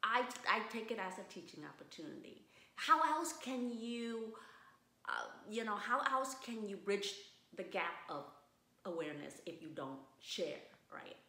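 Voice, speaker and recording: A woman from Vietnam, a guest in a casual interview, speaking thoughtfully with many restarts and pauses. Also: mid-range voice, animated, sharp tone. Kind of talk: casual conversation. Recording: roomy echo.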